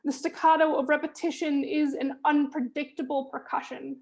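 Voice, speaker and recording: A woman who stutters, reading her own spoken word poem in a measured, rhythmic way over a video call. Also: high voice, little pitch movement, gravelly, low-quality recording. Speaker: poet reading her chapter.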